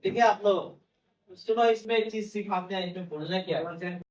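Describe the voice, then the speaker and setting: A man's voice: sits high, normal speed, darkish tone, distant mic. A male English teacher, lecturing a class and repeating his key phrases as he keeps questioning the students. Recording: roomy classroom, voice from off-mic.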